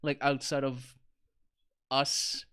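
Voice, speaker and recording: A man chatting with friends during a gaming stream, full of quick quips. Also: mid-range voice, flat delivery, fairly bright tone, smooth. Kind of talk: casual chat while gaming.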